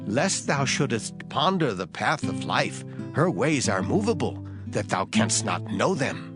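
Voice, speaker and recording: A man's voice: mid-range voice, sing-song, measured pace. A man narrating a dramatised scripture reading with a solemn, declamatory delivery. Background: music.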